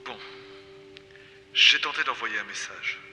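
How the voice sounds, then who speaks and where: An actor playing a confused, desperate amnesiac explorer recording halting, fragmented log entries in an audio drama. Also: male voice, mid-range voice, soft background music. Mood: hesitant, pensive. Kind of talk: French audio drama acting, recorded journal. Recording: echoey, long reverb, loud noise.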